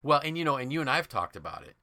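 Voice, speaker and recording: A man's voice: medium pitch, very animated, racing, pushed and bright. An American man co-hosting a casual business podcast, explaining things through enthusiastic storytelling. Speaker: podcast co-host.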